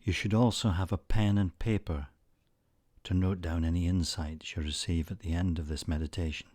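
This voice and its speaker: A man narrating a scripted guided meditation in a soothing, measured manner, leaving frequent pauses between short phrases. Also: sits low, fairly even intonation, mellow, no echo.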